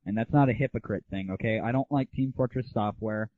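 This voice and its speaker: A man's voice: on the low side, brisk. A man hosting a gaming audio show, giving casual, slangy, opinionated and irreverent reviews. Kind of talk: casual solo rant and game review. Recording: narrow, phone-like 1990s internet audio.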